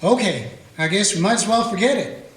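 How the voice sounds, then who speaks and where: An actor playing a steady small-town lawman who narrates and speaks in plain, folksy talk in a radio play. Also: sits high, a little fast. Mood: calm. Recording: roomy echo with music.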